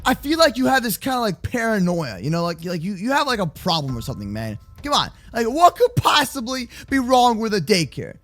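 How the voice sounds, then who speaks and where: A young man doing excitable, playful gaming roleplay commentary for children, slangy and exclamatory. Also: high voice, fairly quick, few pauses. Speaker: host of a gaming roleplay channel. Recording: faint music behind the voice. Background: faint music.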